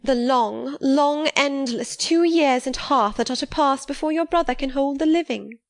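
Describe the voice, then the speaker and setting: A woman reading an audiobook, voicing an affected, gushing young woman who talks in high-flown exclamations. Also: moderate pace. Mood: sighing.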